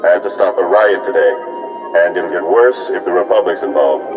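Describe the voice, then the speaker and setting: An actor playing a hard, ruthless military commander giving a stern report in a video game. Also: male voice, deep voice, a little fast, over music, natural voice. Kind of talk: scripted video game dialogue.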